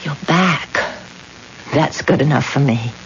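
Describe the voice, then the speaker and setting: An actress playing a wealthy, devoted, forgiving wife in a radio drama, with earnest, halting, theatrical delivery. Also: female voice, a bass voice. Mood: tender. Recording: dull, hissy old radio recording.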